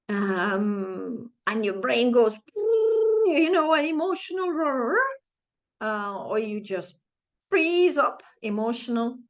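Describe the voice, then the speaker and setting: A woman in her forties, a native Flemish speaker with a Flemish accent, telling a personal story to camera with halting, searching phrasing and playful sound effects. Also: medium pitch. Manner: halting, searching for words, many restarts and fillers. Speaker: channel host, life coach.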